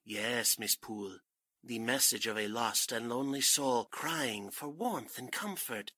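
An actor playing a suave, grandiose fake spiritualist cult leader in a 1940s-style radio drama, speaking formally and theatrically. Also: male voice, mid-range voice, unhurried, pushed and bright, husky, no echo, clean studio sound. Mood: solemn, mystical.

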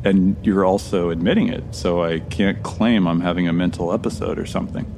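Lost American traveler, audio drama: low-pitched, easygoing, dryly casual. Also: male voice, brisk, gravelly, noisy background. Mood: bemused, wry. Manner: wry, casual, deadpan. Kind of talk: audio drama narration and dialogue.

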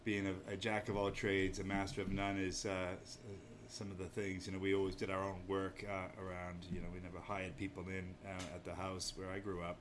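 A man from Maine with an American accent talks in a fairly low voice. He answers interview questions casually and modestly, feeling his way through sentences. Faint noise sits behind his voice in a pub. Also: completely flat delivery, fairly quick, few pauses. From Maine, United States. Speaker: interview guest, inn owner. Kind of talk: casual chat. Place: small pub off an inn lobby.

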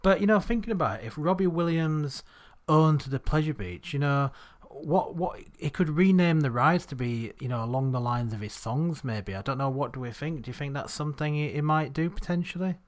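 A young man from Bradford co-hosting a podcast, rambling and laughing as his sentences trail off quietly. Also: fairly high pitch, brisk, few pauses. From Bradford, Northern England. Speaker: podcast co-host. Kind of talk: casual chat.

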